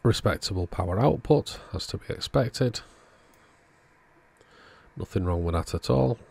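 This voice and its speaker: Deep gravelly guy talking through a repair, kinda husky, easygoing. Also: unhurried. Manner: relaxed, matter-of-fact commentary while working. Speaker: electronics repair channel host. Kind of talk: narrated bench repair tutorial. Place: workbench indoors.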